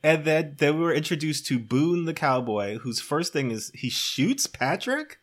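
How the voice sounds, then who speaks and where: A man's voice: a little high, lively intonation, moderate pace. A man recapping a film casually and comedically as a podcast co-host, telling it with wry disbelief and frequent laughter. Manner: wry, incredulous storytelling, often laughing.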